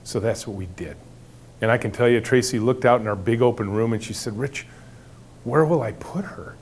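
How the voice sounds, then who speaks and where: A middle-aged man with a big booming voice, telling workplace stories to an audience and acting out the dialogue with animation. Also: moderate pace, slight background noise. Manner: animated storyteller acting out dialogue, some restarts. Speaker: company leader giving a talk. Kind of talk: storytelling talk to an audience.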